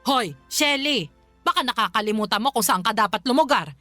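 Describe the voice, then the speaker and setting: A woman's voice: on the high side, animated, brisk, pushed and bright, no room sound, faint music behind. A woman playing an angry, overbearing older Filipino mother who nags and scolds sarcastically in a radio drama. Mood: indignant, scolding. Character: angry, overbearing, nagging mother of a grown son.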